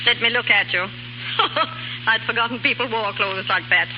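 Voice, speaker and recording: Actress as brusque old aunt, scornful, bossy shopkeeper, 1930s radio play, muffled sound, heavy hiss. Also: female voice, on the high side, measured pace, close to an everyday voice. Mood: brusque, amused. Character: gruff, sardonic old aunt who keeps a bookshop. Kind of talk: 1930s radio drama acting. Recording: muffled, hissy, crackling 1930s radio recording.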